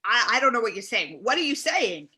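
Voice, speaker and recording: A woman playing an irritable, incredulous male radio host in his sixties in a comedy table read, blunt and quick to repeat questions. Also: on the high side, sing-song, bright, forceful tone.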